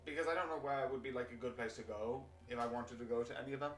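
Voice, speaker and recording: A man's voice: medium pitch, steady tone, fast talker, a bit bright, faint music behind. Rambling British man streaming. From United Kingdom. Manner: rambling, with many fillers and self-corrections. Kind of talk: casual chat over gameplay. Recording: roomy and distant mic.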